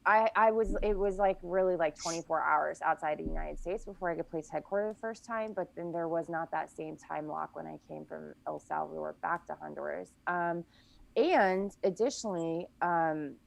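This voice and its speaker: A woman's voice: low-pitched, normal speed, vocal fry, poor audio. A woman guest on a podcast, casually chatting and recounting events in long, detailed runs with frequent self-corrections.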